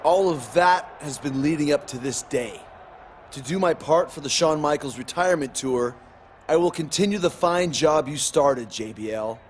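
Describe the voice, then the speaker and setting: A man voices a spiteful wrestler cutting a promo in a video game. He is boastful and sneering, in a fairly high voice. There is slight noise behind him. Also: moderate pace, natural voice. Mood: gloating. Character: boastful, spiteful villain wrestler gloating over rival.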